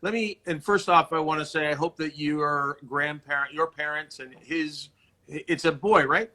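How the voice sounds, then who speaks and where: A man's voice: a little high, fairly even intonation, moderate pace, bright, forceful tone. A man hosting a conversational interview, earnest and wordy as he circles his way into questions. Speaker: interview host. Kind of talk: conversational video-call interview.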